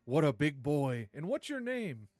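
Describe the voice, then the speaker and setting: A man's voice: a little high, very animated, unhurried, a little mellow, dry recording, studio quality. A man playing an irritable fake department-store Santa in a live comedy table read, jolly on the surface and snappish underneath. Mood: jovial, forced.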